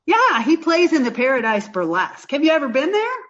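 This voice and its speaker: A woman's voice: sits high, big pitch swings, fairly quick, a bit bright, muffled recording. A woman playing a cheerful, naive, blunt young roommate in a 1940s-style radio comedy. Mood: naive, chatty. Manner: naive, matter-of-fact.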